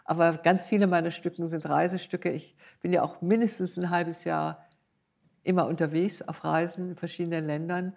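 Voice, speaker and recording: A woman's voice: on the low side, fairly even intonation, moderate pace, gravelly, a little mellow. An older woman, a composer being interviewed, explaining her work in a thoughtful, conversational way. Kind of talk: reflective conversational interview in front of an audience.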